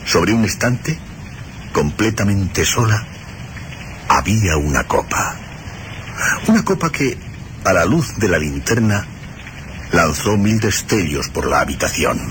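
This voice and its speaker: Deep, dramatic male suspense narrator. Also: big pitch swings, slow pace, long pauses. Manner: dramatic, solemn storytelling. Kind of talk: scripted suspense and horror radio narration. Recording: loud storm noise over radio drama. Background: rain and storm ambience.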